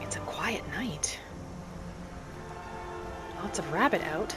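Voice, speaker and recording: A woman voicing a gentle young wood elf alchemist in a fantasy game roleplay, soft-spoken and musing to herself without hurry. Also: lowish voice, lively intonation, takes it slowly, over loud music. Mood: hushed. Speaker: player of a solo fantasy roleplay video series. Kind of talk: in-character game narration.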